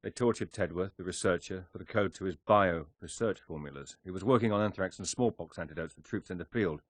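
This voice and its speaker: An actor playing a cool British secret agent in a video game, terse, dry-witted and commanding. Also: male voice, deep voice, a little fast, no room sound. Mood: businesslike. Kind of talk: video game cutscene acting.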